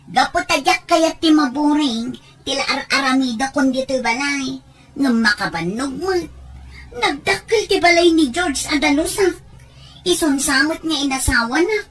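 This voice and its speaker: A woman's voice: sits high, animated, moderate pace, rough recording. A woman with a Filipino accent voicing an unhappy, homesick young wife living abroad in an Ilocano audio drama, plaintive and complaining. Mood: regretful, bored.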